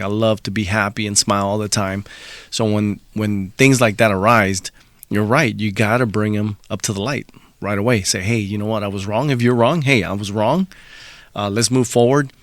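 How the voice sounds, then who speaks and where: A man's voice: lowish voice, fairly even intonation, normal speed, no room sound. A middle-aged man from El Salvador, a podcast guest telling personal stories in an easygoing, reflective way. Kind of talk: casual podcast conversation.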